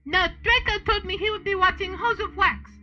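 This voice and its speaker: A man's voice: very high-pitched, fairly quick, pushed and bright, soft background music, pitched above the performer's own voice, heavily acted. A man voicing a flighty female professor from a storybook in an exaggerated, airy manner. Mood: fussy, reassuring. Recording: dull lo-fi sound.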